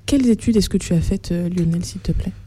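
A woman hosts a conversational podcast interview. Her voice is husky and very mellow, and she moves briskly through her greetings and questions. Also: lowish voice.

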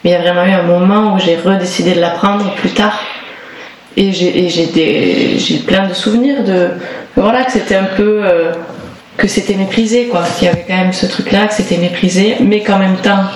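A woman's voice: medium pitch, steady tone, moderate pace. A woman from the Toulouse area, a singer, talking casually in an interview and searching for her words with frequent restarts. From Toulouse area, southern France. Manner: thoughtful, hesitant, many restarts and fillers. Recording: roomy echo with clear background noise.

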